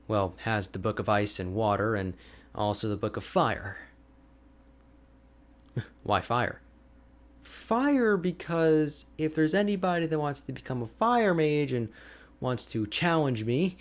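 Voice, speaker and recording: A man playing a slow-paced, boastful ice mage. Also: medium pitch. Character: energetic, boastful, chatty male ice mage hermit. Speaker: audio roleplay voice actor. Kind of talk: ASMR character roleplay.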